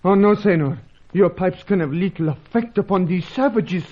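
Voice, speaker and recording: A muffled old radio serial recording of a loyal, anxious sidekick. His voice is high and rough-edged. He speaks formally and deferentially, with urgency. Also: normal speed. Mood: alarmed. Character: anxious, loyal South American male sidekick of an agent. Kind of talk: old-time radio adventure serial acting.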